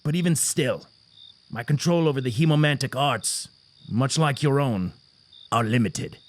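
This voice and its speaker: A man voicing a careful, measured herald who practises blood magic in an audio drama. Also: mid-range voice, lightly acted. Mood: cautious. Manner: cautious and measured. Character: cautious male herald skilled in blood magic.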